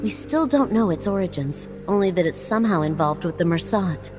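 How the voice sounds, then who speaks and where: A woman voicing a scholarly, wry explorer in a video game, speaking in a measured, articulate way. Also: fairly low pitch, animated, lightly acted. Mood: thoughtful, wary. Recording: game music underneath.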